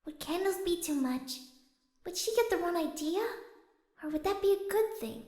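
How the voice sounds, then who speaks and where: A shy young female cartoon pony with an extremely high, childlike pitch. She is soft, sweet and caring, fretting gently as she speaks. The room adds an audible echo. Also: animated, moderate pace. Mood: fretful, hopeful. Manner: soft, sweet, hesitant self-questioning. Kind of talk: cartoon character in audiobook reading.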